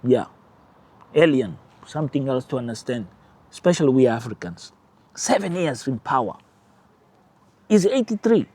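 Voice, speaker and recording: A man with a Portuguese-speaking accent and Portuguese as his first language, hosting his own channel with emphatic, animated political commentary and brief laughs. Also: mid-range voice, normal speed, pauses often, husky. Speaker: channel host.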